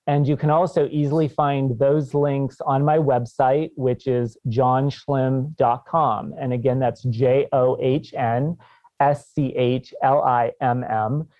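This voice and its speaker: A man's voice: mid-range voice, fairly even intonation, slow, darkish tone, no echo, muffled recording. A man in his fifties, a guest author on a podcast interview, talking with enthusiasm and laughing often. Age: 50s.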